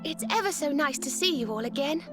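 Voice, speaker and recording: High-voiced, sweet young British bard. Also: female voice, lively intonation, brisk, loud music behind the voice. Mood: warm, gentle. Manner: sweet, courteous, formal. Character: gentle, polite young female magical bard. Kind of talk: video game character acting.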